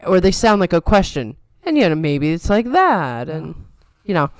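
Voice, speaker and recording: Smooth chatty woman with a New York lilt. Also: on the low side, sing-song, normal speed, no room sound. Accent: softened New York. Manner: chatty, playful, trained radio delivery. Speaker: podcast co-host. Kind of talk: casual chat.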